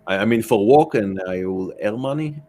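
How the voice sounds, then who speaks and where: Male guest, deep voice, matter-of-fact and halting in an informal interview. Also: expressive, dark tone. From Israel. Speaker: podcast guest. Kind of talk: casual interview answers.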